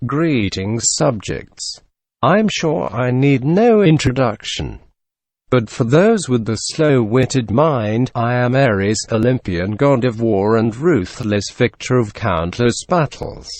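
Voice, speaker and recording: A man's voice: medium pitch, animated, slow, a little mellow, dry recording. A man playing an arrogant, ruthless god of war, giving a pompous, menacing monologue in a scripted drama.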